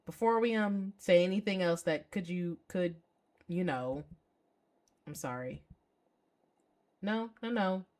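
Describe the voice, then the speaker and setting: A caring young woman from a visual novel, read aloud by a woman speaks as a best friend. She is earnest and soothing, yet firm, in a lowish voice. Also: slow, long pauses, darkish tone. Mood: weary, conciliatory.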